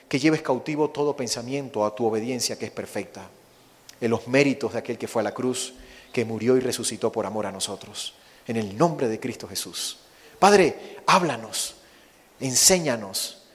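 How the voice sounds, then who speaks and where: A Venezuelan man with a Venezuelan Spanish accent, a missionary preaching and praying fervently, slowing to stress his words. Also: medium pitch. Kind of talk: sermon with opening prayer. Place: church sanctuary. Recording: long reverb of a church hall.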